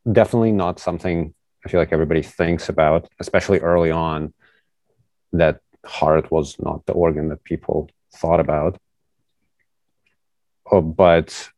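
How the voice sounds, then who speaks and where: A man's voice: very deep voice, a little mellow, dry recording. A young man from Ukraine, a research trainee speaking conversationally as an interview guest, not an English speaker. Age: young adult. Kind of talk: casual conversational interview answer.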